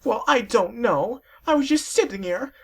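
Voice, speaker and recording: A woman's voice: a little high, animated, creaky, breathy edge, pitched above the performer's own voice, full character voice. A woman doing a wailing, vain sea serpent. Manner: wailing, melodramatic, drawn-out. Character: vain, weepy male sea serpent, huge and dramatic. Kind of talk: fan fiction read aloud.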